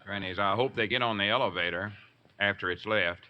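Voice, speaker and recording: A man's voice: on the low side, fairly quick, muffled recording, lightly acted. A man playing a self-important, scheming rural old fellow with a Southern US accent in 1940s radio comedy, folksy and bossy. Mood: surprised. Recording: faintly noisy vintage radio recording.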